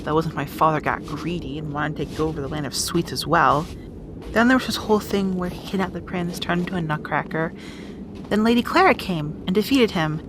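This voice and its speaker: A woman's voice: deep voice, brisk, noisy background, lightly acted. A woman playing a bossy, guarded young rat princess in an audio roleplay drama, with exasperated, wry asides. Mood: guarded, explaining.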